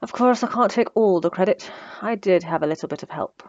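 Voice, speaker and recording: A woman's voice: medium pitch, a little fast, a little mellow, dry recording, muffled recording, lightly acted. A woman playing a smug, sarcastic female supervillain who taunts her captive with theatrical condescension in an ASMR roleplay. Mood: puzzled, probing. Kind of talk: ASMR roleplay audio drama.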